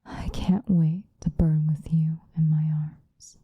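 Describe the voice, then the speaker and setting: A woman playing an obsessive, possessive lovesick woman in an intimate, whispered ASMR roleplay, with a breathy voice. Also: low voice, level tone, dry recording, lo-fi sound, exaggerated voice. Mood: tender, darkly loving. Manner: slow, intimate, pleading.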